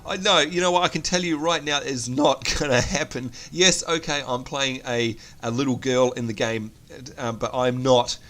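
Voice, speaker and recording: A man's voice: mid-range voice, fairly even intonation, normal speed, few pauses, a bit bright. A man with a New Zealand accent, sick with a cold, giving chatty, self-mocking commentary on a game he is playing. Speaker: gameplay commentator. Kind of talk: casual let's play commentary.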